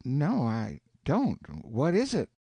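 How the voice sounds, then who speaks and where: A man's voice: medium pitch, wildly swooping pitch, slow, mellow, breathy edge, no room sound, full character voice. A man voicing a stubborn, indignant country boy in rustic dialect.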